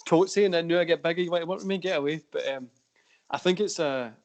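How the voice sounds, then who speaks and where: A man's voice: fairly high pitch, quick pace. A Scottish man hosting a wrestling podcast, talking in a meandering way with many restarts. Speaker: podcast host. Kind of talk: casual podcast chat.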